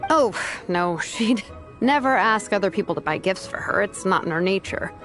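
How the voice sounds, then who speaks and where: A woman's voice: medium pitch, lively intonation, normal speed, fairly bright tone, soft background music, lightly acted. A woman voicing a laid-back, dryly wry young craftswoman with an older-sister manner in a video game. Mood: sincere. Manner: dry, understated.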